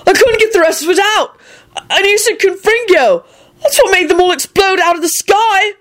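A woman voicing an impulsive, excitable young male wizard in a fan fiction reading, with a high, shrill delivery. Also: moderate pace. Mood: excited.

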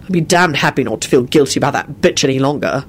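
A woman voicing a gruff, sardonic, foul-mouthed man in a story, with a dry, impassive manner. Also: sits low, sing-song, brisk, slight background noise, heavily acted. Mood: gruff, serious.

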